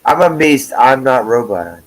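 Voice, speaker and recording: A man in his forties hosts a relaxed livestream from his house. His voice sits at an ordinary middle pitch. He talks in a loose, chatty way, cusses casually and calls out to people in the chat. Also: normal speed, slight background noise, rough recording. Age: 40s. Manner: loose and profane, greets chat viewers. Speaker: livestream host. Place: at home.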